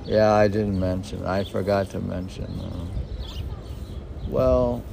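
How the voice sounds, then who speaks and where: A man reading his own poems with casual, rambling commentary, halting and musing as he puts questions to himself. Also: sits low, gravelly. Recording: noisy outdoor recording with birds. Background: birdsong, ambient noise.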